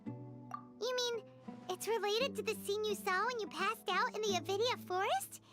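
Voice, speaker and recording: A woman voicing a small, childlike female fairy companion in a video game, chatty, cheeky and excitable, with a squeaky voice. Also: moderate pace. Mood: puzzled, worried. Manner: refers to herself in the third person, expressive. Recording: loud game music behind the voice. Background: game music.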